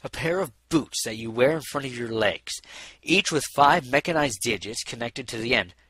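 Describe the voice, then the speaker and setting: Man playing a bright-voiced, boastful pony charmer. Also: mid-range voice, expressive, moderate pace, dry recording, low-quality recording. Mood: proud, coaxing. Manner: smooth-talking, self-important, blustering. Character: boastful male pony musician and inventor, eager flirt.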